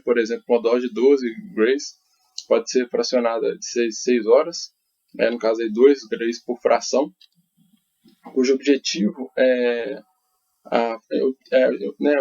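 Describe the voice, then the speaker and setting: A man with a Brazilian accent giving an academic conference presentation as a researcher, speaking haltingly and often adding little tag questions. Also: medium pitch, creaky, dark tone. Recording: dull, lo-fi audio.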